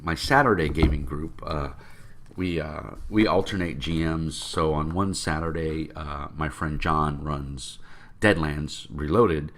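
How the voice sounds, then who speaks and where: A man with a very low, froggy voice, thickened by a cold. He talks casually and rambles, with plenty of ums and uhs. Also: a little slow, a bit bright, a little hiss or hum. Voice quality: deep, froggy voice. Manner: rambling, with many fillers. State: congested from a cold. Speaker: tabletop game vlogger. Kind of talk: casual rambling talk to camera.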